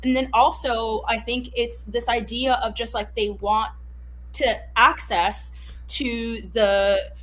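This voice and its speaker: A woman's voice: fairly high pitch, steady tone, takes it slowly, a bit bright. A woman born in Haiti whose first language is Creole, talking through her views in an emphatic, thoughtful way in a casual discussion. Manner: thoughtful, emphatic, self-correcting.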